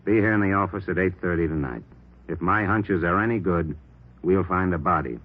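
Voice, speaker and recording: On a dull old radio recording, a shrewd American police captain lays out his orders. The voice is fairly low, brisk and authoritative, with the decisive air of a boss in a crime serial. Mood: resolute. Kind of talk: 1950s radio drama acting.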